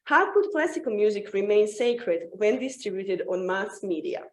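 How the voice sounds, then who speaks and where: A woman presenting a scripted academic paper at a symposium, reading aloud haltingly and often stumbling and restarting words. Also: mid-range voice, expressive, roomy sound, slight background noise.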